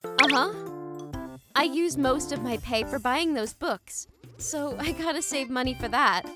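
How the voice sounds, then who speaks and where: A sweet young female student from a manga cartoon, played by a woman, frank and polite. Her voice is high-pitched and matter-of-fact. Music runs clearly underneath. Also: a little slow. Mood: earnest. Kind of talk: manga dub dialogue. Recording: music behind the voice. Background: background music.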